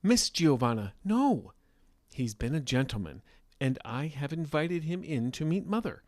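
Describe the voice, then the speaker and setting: A man's voice: fairly high pitch, very animated, measured pace, no room sound, clean studio sound, pitched above the performer's own voice. A man voicing a self-assured young girl in an audio story, polite but firm, with prim and careful diction. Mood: indignant. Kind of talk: scripted audio fiction storytelling.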